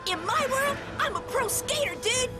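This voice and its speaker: An extremely high-voiced, cocky kid hero from an adventure cartoon. He is slangy and excitable, swinging widely in pitch over loud music. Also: normal speed. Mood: excited. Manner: slangy, exclamatory. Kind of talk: animated cartoon acting.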